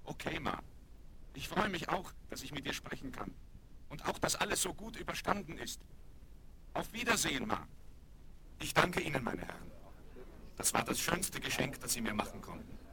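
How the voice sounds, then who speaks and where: An actor playing a famous young American aviator, modest and boyish, in a German children's radio play. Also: male voice, a little high, animated, moderate pace, creaky, long pauses, bright, forceful tone, exaggerated voice. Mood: thoughtful, sincere. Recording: noisy, crackly vinyl record transfer.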